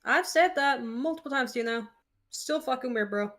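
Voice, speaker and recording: Woman, thirties, slightly high voice, blunt, teasing, casual chat. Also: moderate pace, fairly bright tone. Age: 30s. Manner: blunt, teasing, repeats her point.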